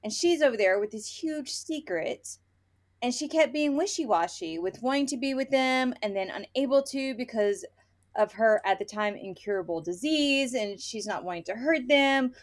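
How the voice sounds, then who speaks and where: A woman's voice: a little high, animated, normal speed. A woman podcast host in a casual book chat, ranting bluntly with opinions and swearing, often acting out imagined dialogue.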